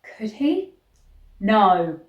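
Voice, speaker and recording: A woman with a slow, unhurried delivery reads stories and gives casual commentary. She is wry and sarcastic, and her voice carries the echo of the room. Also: medium pitch, very animated, dull audio. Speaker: host reading a book aloud and reacting. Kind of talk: read-aloud storytelling with casual vlog commentary. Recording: echoey room.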